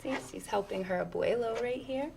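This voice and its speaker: A woman's voice: a little high, normal speed, creaky, darkish tone, a little hiss or hum. A woman reading a children's picture book aloud expressively, with chatty asides and questions to her listeners.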